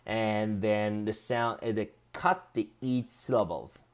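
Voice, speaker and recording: A man's voice: fairly low pitch, fairly even intonation, slow pace. A man with a Japanese accent hosting a casual live stream, reading viewer comments aloud and laughing often as he chats.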